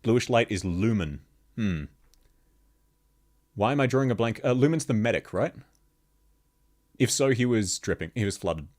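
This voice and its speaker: A man with a very deep bass voice, chatting casually with viewers on a live stream and laughing often. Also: animated, normal speed, long pauses, darkish tone. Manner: laughs constantly, chatty, answers chat questions. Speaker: VTuber streamer. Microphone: streaming microphone, level shifts as he moves.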